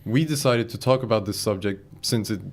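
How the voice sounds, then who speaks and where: A man's voice: on the low side, fairly quick. A young man hosting a casual podcast, joking with his guests and steering the chat. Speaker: podcast host. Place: small podcast recording room. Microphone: studio microphone.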